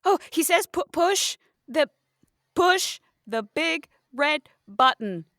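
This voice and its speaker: A woman's voice: sits high, big pitch swings, takes it slowly, sharp tone, no room sound, studio quality. A woman improvising in-character dialogue as a player in a roleplay podcast.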